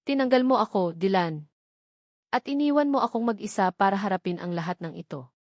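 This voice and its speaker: A woman's voice: mid-range voice, animated, brisk, a little mellow, dry recording, lightly acted. A wronged mother, as a woman narrator acts her out, speaks with hurt and bitterness. She is emotional and accusing, a romantic-drama heroine. The recording is dry and close. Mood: angry, hurt. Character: wronged tearful single mother, former secretary, pleading and bitter.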